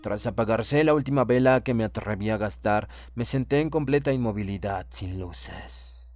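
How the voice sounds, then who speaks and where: A man narrating a horror audiobook in the first person, with a measured, solemn and literary delivery. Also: on the low side, darkish tone.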